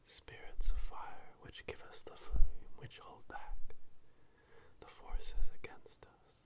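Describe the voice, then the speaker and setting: A performer voicing a solemn witch chanting a ritual invocation in a breathy whisper, as an ASMR roleplay close to a binaural microphone. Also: natural voice. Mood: solemn, ominous. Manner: slow, solemn, chanting, ceremonial. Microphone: close binaural microphone, ear to ear.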